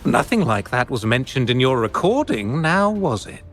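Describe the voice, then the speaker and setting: A man's voice: mid-range voice, sing-song, normal speed, soft background music. A man voicing a smug, scheming male art dealer in a video game, condescending and dismissive.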